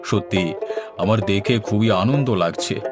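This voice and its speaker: A man voicing a warm-hearted, eager village man in a cartoon, with an emphatic, exclamatory delivery. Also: fairly low pitch, a little slow, natural voice. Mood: moved. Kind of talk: Bengali cartoon voice acting. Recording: music playing behind the voice.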